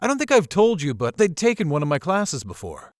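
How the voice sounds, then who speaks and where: A man playing a courteous, wry, quietly mysterious college professor in a visual novel, speaking in a theatrical, measured way. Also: on the high side, huge pitch swings, no echo, studio quality. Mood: concerned. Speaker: professor character.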